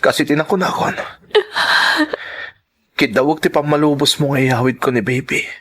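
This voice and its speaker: A man plays an earnest lover in an emotional audio drama. He speaks slowly and pleadingly, in a middle-pitched voice, as he tries to part from the one he loves. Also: steady tone, fairly bright tone, lightly acted. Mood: pleading, apologetic. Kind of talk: Ilocano radio-style drama acting.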